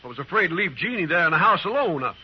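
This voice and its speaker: A man's voice: high-pitched, sing-song, very fast, husky, heavily acted. An American actor playing a troubled, plain-spoken frontier man in a radio western, earnest and weary, like one who feels wronged. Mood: alarmed, pleading. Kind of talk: 1950s radio western acting. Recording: muffled, hissy old radio recording.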